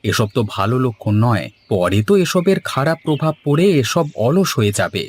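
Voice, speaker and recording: A man's voice: mid-range voice, sing-song, moderate pace, husky. A man playing a sociable, persuasive village man in a cartoon, explaining and coaxing good-humouredly. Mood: concerned, advising. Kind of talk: cartoon dialogue acting.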